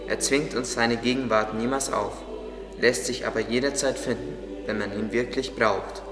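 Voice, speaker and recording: A man's voice: mid-range voice, fairly even intonation, moderate pace, natural voice. A man playing a kindly, devout gardener who explains things earnestly in an audio drama. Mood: earnest, reverent. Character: devout, kindly male gardener, patient teacher. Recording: echoey, long reverb, under music. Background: music.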